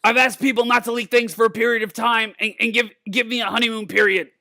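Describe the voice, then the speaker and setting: A man voicing a belligerent, drunken old mad scientist in a cartoon-style comedic reading, gravelly and stammering, repeating himself and cursing. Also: extremely high pitch, flat delivery, fast, pushed and bright, full character voice. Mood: angry, threatening. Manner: stammering, repeating words, profane.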